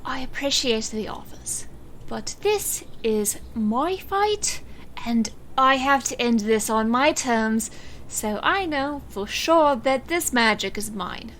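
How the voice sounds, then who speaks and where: A woman playing a guarded, resolute stage magician in an audio drama, speaking in a grave, measured, firm way. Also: fairly high pitch, expressive, fairly bright tone, a little hiss or hum, full character voice, rough recording. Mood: firm, resolved. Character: resolute, guarded woman stage magician facing her murderous birth father.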